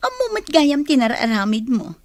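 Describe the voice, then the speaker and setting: A woman's voice: sits high, exaggerated voice. A woman playing a villain's conniving, flirtatious sweetheart in a radio drama. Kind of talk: Ilocano radio drama acting.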